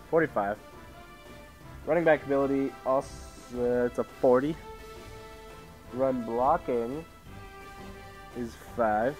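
A man's voice: mid-range voice, a little mellow. A teenage boy from Idaho, sick with a sore throat, giving rambling casual commentary at home while he reads out game settings. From Idaho, USA. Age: teens. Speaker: gaming YouTuber. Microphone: headset mic with tangled cords.

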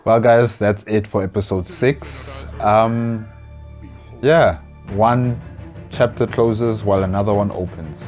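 A man's voice: lowish voice, slow, darkish tone, soft background music. A young adult man giving casual commentary as a reaction video host, musing and hesitant, on a lapel mic in a small room. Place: small plain room indoors.

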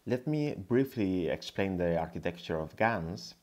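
A man from Spain with a Spanish accent giving an explanatory slide lecture, pausing hesitantly as he goes. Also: deep voice, lively intonation, darkish tone. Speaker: guest lecturer, tech founder.

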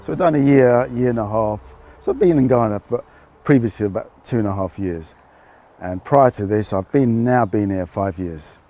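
British guy, middle-aged, warm and mellow, easygoing explainer outdoors. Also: on the low side, moderate pace. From United Kingdom. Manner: relaxed, explaining with hesitant fillers. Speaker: fish farmer. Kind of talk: casual interview answers. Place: outdoors at a fish farm.

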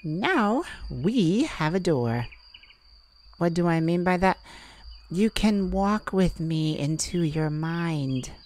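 A woman playing a calm, enigmatic mystic who peers into minds, speaking with soothing, hesitant pauses in an intimate audio roleplay. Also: fairly low pitch. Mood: gently guiding. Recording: nature ambience with birds and crickets.

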